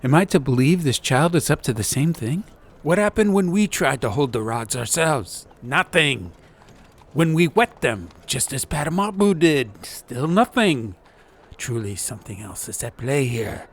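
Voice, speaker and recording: A man's voice: mid-range voice, expressive, unhurried, pitched above the performer's own voice. A man voicing an intense, big-hearted adventurer in a fantasy audiobook, speaking with grave, dramatic wonder. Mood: troubled, awed. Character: intense, awed, big-hearted male companion on a quest.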